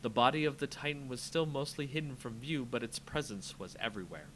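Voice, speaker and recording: A man's voice: medium pitch, brisk, fairly bright tone, low-quality recording. A man reading a fantasy story aloud as a dramatic narrator, with faint noise behind him.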